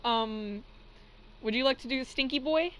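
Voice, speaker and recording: A woman's voice: sits high, a little slow, fairly bright tone. A young adult woman chatting casually on a livestream in a blunt, teasing, sweary way. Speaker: livestream co-host and fan fiction reader. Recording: noisy amateur livestream microphone.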